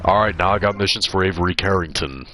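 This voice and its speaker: Man, 20s, deep voice, gaming streamer, casual chatty commentary, bright tone. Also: quick pace, faint music behind. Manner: relaxed, chatty, answering chat.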